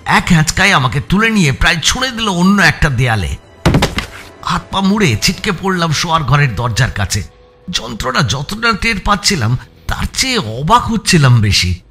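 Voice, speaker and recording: A man's voice: a little high, very animated, moderate pace, pushed and bright, soft background music. A man with an Indian Bengali accent giving a dramatic first-person audiobook narration of a story.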